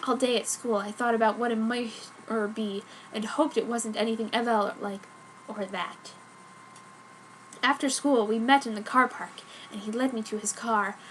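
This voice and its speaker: A woman with a fairly high voice narrates a romance story in a theatrical, mock-earnest way. The amateur recording has clear noise behind her. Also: a bit bright, rough recording. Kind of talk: dramatic reading of fan fiction.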